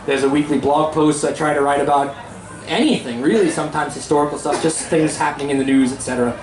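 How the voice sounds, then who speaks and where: A man's voice: a little high, fairly even intonation, quick pace, reverberant, poor audio. A man hosting a live festival talk in a seminar tent, chatty and joking as he plugs his videos. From Pennsylvania, USA. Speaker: host and teacher.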